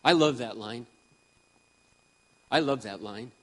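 A man around sixty preaching a sermon with impassioned emphasis, swinging between loud peaks and quiet asides. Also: medium pitch, slow pace, in a large hall, low-quality recording. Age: 60s. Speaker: pastor.

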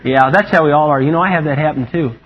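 A man preaching a lively, humorous sermon, animated and playful, acting out quotes in a higher voice. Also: fast, husky. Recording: muffled lo-fi recording.

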